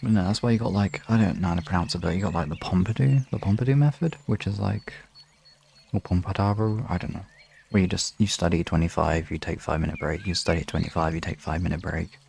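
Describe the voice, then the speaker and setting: Low, gravelly-voiced man chatting on livestream. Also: fast, a little mellow, a little hiss or hum. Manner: rambling, informal, talking to viewers. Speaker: livestream host. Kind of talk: casual livestream chat.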